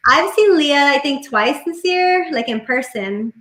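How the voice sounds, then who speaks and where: A woman whose first language is Spanish, a musician guest chatting casually on a video call from home, relaxed and full of fillers. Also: high voice, a little slow, reverberant. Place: home room. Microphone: laptop webcam mic on video call.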